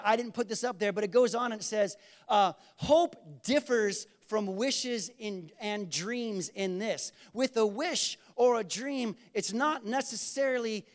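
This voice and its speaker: Male pastor, high voice, emphatic and repetitive sermon delivery. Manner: emphatic, repeats key lines for stress; swings between loud bursts and quiet asides.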